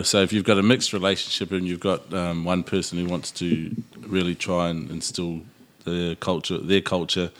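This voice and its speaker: Low-voiced older man chatting casually. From New Zealand. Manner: hesitant, many fillers and restarts. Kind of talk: casual podcast chat.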